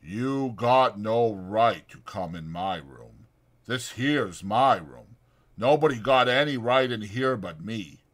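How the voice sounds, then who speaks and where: A man's voice: on the low side, lively intonation, slow. Man acting a bitter, aloof stable hand. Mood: sharp, hostile. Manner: sharp, grumbling, folksy rural speech.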